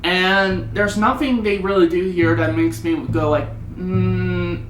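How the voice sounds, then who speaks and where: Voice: medium pitch, fairly even intonation, takes it slowly, a little hiss or hum. A person giving a casual, opinionated film review in a rambling, conversational way. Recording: roomy echo, music underneath.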